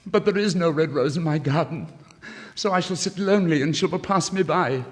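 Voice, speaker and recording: A man's voice: a little high, fairly quick, pitched above the performer's own voice, lightly acted. A man playing a lovesick, despairing young student in a fairy tale, with melodramatic, lamenting 1950s storytelling delivery. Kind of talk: 1950s literary story record acting. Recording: vintage vinyl record, long reverb.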